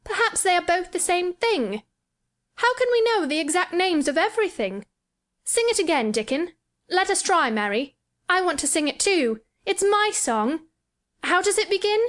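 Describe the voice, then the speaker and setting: Storybook boy played by a woman: piping high, well-spoken, questioning, earnest and keen. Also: big pitch swings, normal speed, fairly bright tone, exaggerated voice. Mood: delighted, eager. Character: eager, imperious young boy, thoughtful and earnest.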